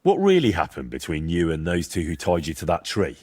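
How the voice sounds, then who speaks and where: A man playing a blunt, earnest young adventurer in a medieval video game, husky-voiced and direct in his questioning. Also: sits low, huge pitch swings, brisk, darkish tone, full character voice. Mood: insistent, skeptical.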